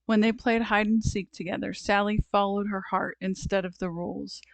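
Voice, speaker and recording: A woman narrating a children's storybook aloud, expressive and deliberate in her phrasing. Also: mid-range voice, gravelly, no echo, low-quality recording. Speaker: storybook reader.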